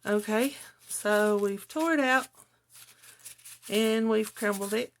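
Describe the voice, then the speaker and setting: A middle-aged woman with a slightly hoarse voice, chatting casually to viewers as a craft channel host in a homely, rambling way. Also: mid-range voice, slow pace, slight background noise. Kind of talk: casual chat to viewers while journaling, partly reading own notes.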